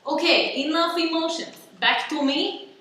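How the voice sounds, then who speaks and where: A woman's voice: extremely high pitch, steady tone, measured pace, sharp tone, heavily acted. A woman playing a gloating, self-important spy villain in a radio play, given to pompous monologues. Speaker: actor. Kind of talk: stage-style radio play acting. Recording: echoey room, distant mic, faint noise.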